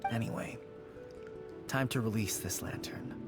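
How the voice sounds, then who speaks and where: An actor playing a grown man who calmly recalls his childhood friends and their old promises. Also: on the low side, unhurried, natural voice. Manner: calm, nostalgic. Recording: game audio with music underneath.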